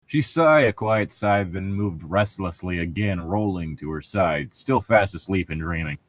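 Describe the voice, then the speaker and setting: A man's voice: sits low, animated, measured pace, no echo. A man doing casual, mocking read-along commentary as a show host, jokey and profane as he rambles. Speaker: host of a comedic book-reading show.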